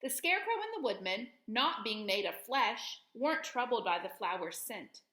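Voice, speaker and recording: A woman reading a children's story aloud as a storyteller, with expressive delivery. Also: a little high, normal speed. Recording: echoey room.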